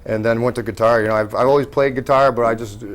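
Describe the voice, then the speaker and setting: Quick-talking male musician in casual interview. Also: mid-range voice, steady tone. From New York State. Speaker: band guitarist and lead singer being interviewed.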